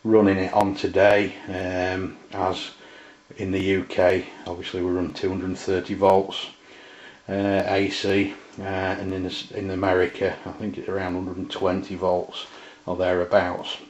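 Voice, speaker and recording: A British man giving a casual, unscripted walkthrough as a hobbyist presenter, speaking in a halting way. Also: low voice, monotone. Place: indoors in a domestic kitchen. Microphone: camera mic, behind the camera. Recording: roomy, dull camera sound.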